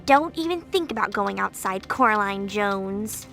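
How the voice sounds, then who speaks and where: A woman's voice: medium pitch, animated, unhurried, vocal fry, fairly bright tone, faint music behind. A woman playing a bored, restless young girl in a video game, sulky and curious, with a whiny, impatient way of talking. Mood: flat compliance. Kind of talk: video game cutscene voice acting.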